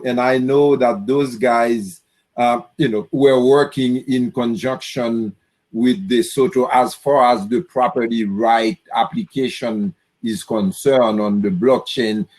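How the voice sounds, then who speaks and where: A man from Haiti asking a question on a video call, halting often with fillers. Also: mid-range voice, a little mellow. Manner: slow and halting, many "uh" fillers. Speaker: audience member asking a question. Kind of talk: online Q&A question.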